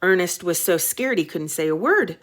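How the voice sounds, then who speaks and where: A woman teacher reading a storybook aloud to children, expressive and dramatic, with short explanatory asides. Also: fairly low pitch, moderate pace.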